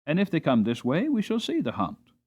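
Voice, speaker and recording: Man voicing a wise old mother horse, lively pitch. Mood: knowing. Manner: measured, reflective, moralizing. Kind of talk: dramatic storybook reading.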